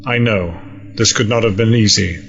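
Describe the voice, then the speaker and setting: A man playing a grave, resolute military admiral in an audio drama, measured and authoritative. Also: lowish voice, gravelly, a bit bright, faint music behind. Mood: grave, grateful. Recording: dull lo-fi sound.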